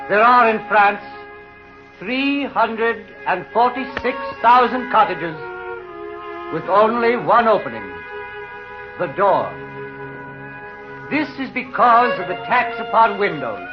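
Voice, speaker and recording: Slow, high-voiced preaching bishop. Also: male voice, expressive, music in the background. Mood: solemn, grieving. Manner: solemn, deliberate oratory. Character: earnest, compassionate French bishop preaching to his flock. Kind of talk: sermon in 1930s radio acting. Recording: muffled old radio recording.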